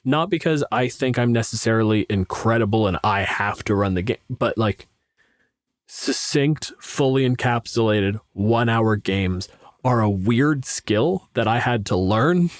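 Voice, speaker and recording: A man's voice: medium pitch, no room sound, clean studio sound. A man chatting casually and frankly as a podcast co-host and game master, swearing freely.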